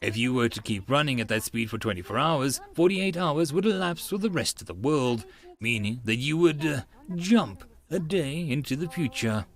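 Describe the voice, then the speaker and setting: Man voicing a poised, animated teenage genius girl. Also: mid-range voice, moderate pace. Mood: lecturing. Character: gutsy eighteen-year-old genius girl, poised and sharp-tongued.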